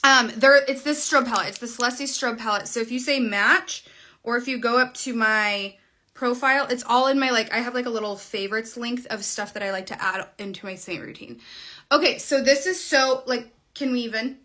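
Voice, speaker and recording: Bright, slightly high-voiced woman in her thirties, chatty live makeup host on a phone camera. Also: normal speed. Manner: chatty, bubbly, talks while applying makeup. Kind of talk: casual livestream makeup tutorial. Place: small indoor room at home. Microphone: phone camera at arm's length.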